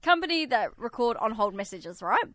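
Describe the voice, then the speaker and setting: A woman's voice: fairly high pitch, sing-song, moderate pace, a bit bright, no echo. A woman co-hosting a radio show, teasing in a dry, matter-of-fact way. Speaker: radio co-host. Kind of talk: casual on-air banter. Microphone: studio microphone.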